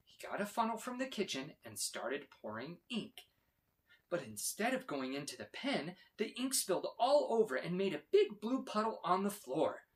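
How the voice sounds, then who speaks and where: A man reading a children's story aloud with expressive, dramatic emphasis. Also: high voice. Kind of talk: animated read-aloud narration for children.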